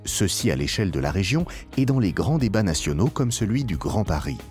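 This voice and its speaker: A man with a low voice narrates a polished institutional film. His intonation moves widely while the delivery stays formal and measured, stressing key phrases. Light music plays behind him. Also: sing-song, darkish tone. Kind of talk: scripted promotional documentary narration. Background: background music.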